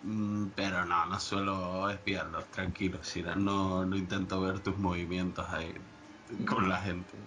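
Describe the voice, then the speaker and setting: Slow-talking man, noisy line. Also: low-pitched, little pitch movement, sharp tone. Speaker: podcast guest. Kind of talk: casual chat. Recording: clearly noisy background hiss or ambience.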